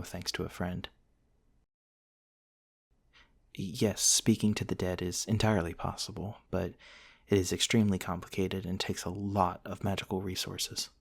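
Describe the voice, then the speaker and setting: Male actor as eccentric gentle vampire prince, deep pitch, creaky fry, genteel, wistful, intimate ASMR storytelling. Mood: gently amused. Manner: soft-spoken, wistful, genteel storytelling with pauses. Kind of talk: intimate ASMR roleplay monologue.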